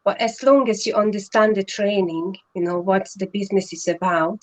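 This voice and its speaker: Woman, earnest and halting. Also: medium pitch, steady tone, a little mellow, poor audio. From Hungary. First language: Hungarian. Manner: earnest, many fillers and restarts. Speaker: guest, online business partner. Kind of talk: casual live-stream chat.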